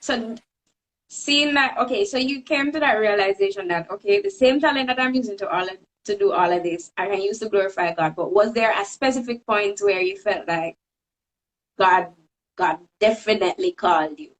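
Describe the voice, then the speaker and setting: A woman hosting a live stream interview, asking slow, thoughtful questions. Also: mid-range voice, expressive, poor audio. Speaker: host interviewer.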